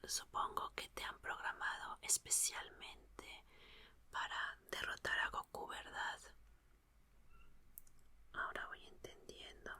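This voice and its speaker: Woman acting as young female mechanic, ASMR roleplay, crisp sibilant whisper, unhurried, curious, soothing. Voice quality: breathy, unvoiced whisper. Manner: soft, unhurried, soothing murmur with repeated phrases.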